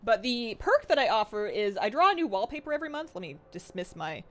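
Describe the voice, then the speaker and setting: A young woman streaming a game live, chatting excitedly and reading her chat aloud. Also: a little high, fast talker.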